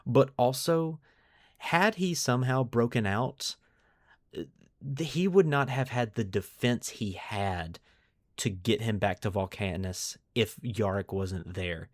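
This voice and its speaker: A man's voice: medium pitch, slow pace, no echo, clean studio sound. A man hosting a podcast, moving between storytelling narration and casual discussion. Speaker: podcast host.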